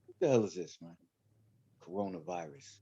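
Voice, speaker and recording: A man's voice: deep voice, very animated, normal speed, gravelly, dark tone, slightly rough, pitched below the performer's own voice, heavily acted. A man reading the part of a pushy, ranting boxing coach in a film script table read, emphatic and commanding. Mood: disgusted ranting. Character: ranting, pushy male boxing coach, conspiracy-minded, gruff. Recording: dull, lo-fi video call.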